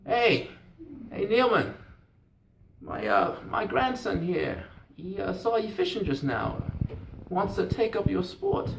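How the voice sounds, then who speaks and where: A man's voice: high voice, very animated, measured pace, gravelly, voice pushed up, heavily acted. A man voicing a reluctant grandfather, hesitant and dismissive, in a told folk tale. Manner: hesitant, repeats himself. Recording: roomy, echoey, distant mic, faint noise.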